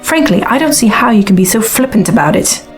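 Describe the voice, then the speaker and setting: A woman's voice: medium pitch, expressive, a little fast, faint music behind, natural voice. Woman playing a wary, matter-of-fact sci-fi reporter. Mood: brisk. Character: wary, hard-bitten futuristic woman reporter and pilot. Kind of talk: audio drama acting.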